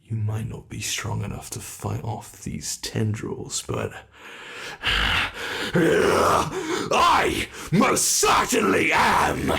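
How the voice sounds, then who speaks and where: A British man voicing an ancient, cruel male monster that hunts humans, slow and relishing, in an ASMR horror roleplay. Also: medium pitch, creaky, pushed and bright, pitched above the performer's own voice, exaggerated voice. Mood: taunting. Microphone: close mic.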